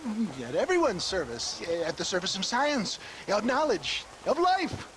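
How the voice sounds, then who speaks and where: A man's voice: sits high, sing-song, normal speed, background noise. A man playing a pompous old snake-oil salesman in a video game, with florid, theatrical sales patter. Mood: grandly courteous. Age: older.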